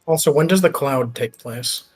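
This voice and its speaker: A man's voice: medium pitch, moderate pace, husky. A man talking casually as a player in a tabletop roleplaying game. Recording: background music under the voice. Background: music.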